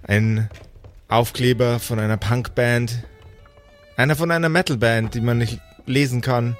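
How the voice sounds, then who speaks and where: A man narrates an improvised tabletop story as game master at a slow pace. His mid-pitched voice is measured and descriptive, pausing deliberately, with faint music underneath. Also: fairly even intonation.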